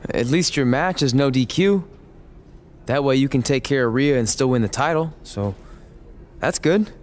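A man's voice: mid-range voice, lively intonation, normal speed, a little mellow, a little hiss or hum, lightly acted. A man playing an earnest young wrestler in a game cutscene, friendly and a little awkward. His voice rises and falls expressively as he talks. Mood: concerned.

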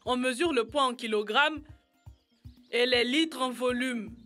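A woman's voice: on the high side, unhurried, fairly bright tone, faint music behind. A woman voicing an earnest, curious little boy in a children's cartoon, asking things politely. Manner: inquisitive, polite.

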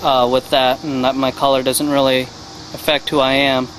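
A young man answering interview questions outdoors into a handheld mic, halting with many fillers. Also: medium pitch, flat delivery, fairly bright tone, slight background noise, rough recording. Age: young adult. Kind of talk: casual interview. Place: outdoors against a garage door. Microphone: handheld mic held by interviewer.

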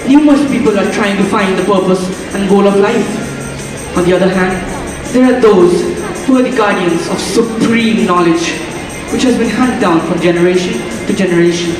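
A young man acting an earnest young lover who explains deep teachings on stage. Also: moderate pace, music in the background, close to an everyday voice. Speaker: student actor in a stage play. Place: large auditorium stage. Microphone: distant stage mic. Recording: echoey auditorium from far off.

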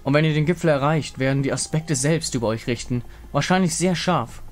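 Man voicing a solemn moon warrior woman, higher-pitched. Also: lightly acted. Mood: ominous. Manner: grave, formal, measured. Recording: music behind the voice.

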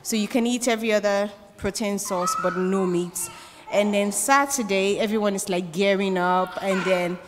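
A woman's voice: mid-range voice, fairly even intonation, moderate pace. Young woman from Nigeria, Yoruba speaker, chatty, laughs often, handheld mic, echoing church hall, faint hum. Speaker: church member. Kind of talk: interviewed on stage.

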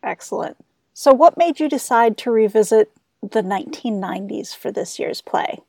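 A woman's voice: on the high side, expressive, moderate pace, creaky, a little mellow, no room sound. A woman hosting a radio talk show, asking interview questions.

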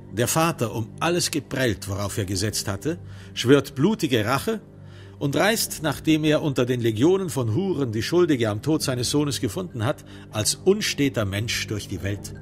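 A man playing the wry, sombre narrator of a crime radio drama, telling it in measured literary prose with dramatic emphasis. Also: medium pitch, natural voice. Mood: sombre storytelling.

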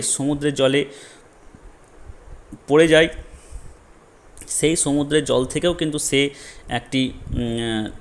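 A man presenting an explanatory lesson, emphatic and repetitive, mixing in English technical terms. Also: medium pitch. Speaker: educational presenter. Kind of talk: explanatory lesson for online viewers.

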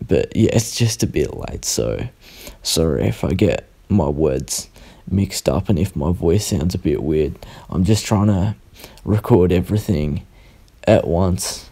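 A young man, sounding croaky and tired, rambling through a casual unboxing voice-over at home and correcting himself as he goes. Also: low-pitched, animated, warm tone. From Australia. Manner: rambling, self-correcting, unhurried. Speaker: sticker artist vlogger.

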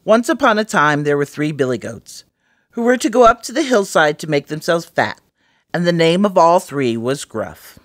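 A woman narrating a children's fairy tale for story time, with expressive, dramatic delivery. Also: low voice, normal speed, no echo, clean studio sound.

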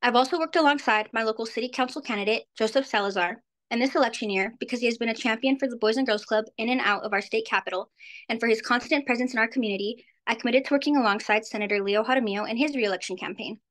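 A seventeen-year-old girl from New Mexico reads a prepared speech over a video call. Her voice sits a little high and she moves through the text quickly. She sounds earnest throughout. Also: a bit bright. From New Mexico, USA. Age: teen, 17. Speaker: youth speaker. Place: indoors, virtual background. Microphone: video call webcam.

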